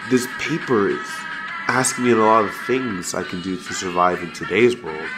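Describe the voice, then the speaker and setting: A man's voice: deep voice, fairly even intonation, moderate pace, music in the background, close to an everyday voice. A man playing an earnest, self-doubting teenage superhero in an audio drama, stammering and easily flustered. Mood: unsure, earnest. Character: anxious, earnest male teenage superhero, awkward with his mom.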